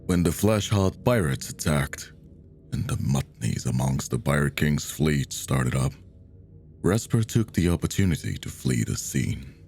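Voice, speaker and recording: A man narrates a story's ending in an extremely deep, dark, creaky voice. Faint background noise sits under the recording. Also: sing-song, a little slow. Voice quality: very deep, gravelly. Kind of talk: scripted story epilogue narration.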